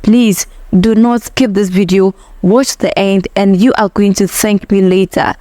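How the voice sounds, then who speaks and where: A woman's voice: medium pitch, fairly even intonation, measured pace, dark tone, no echo. A woman vlogger casually walking her viewers through a home hair-care recipe, chatty and instructive, often calling them "guys".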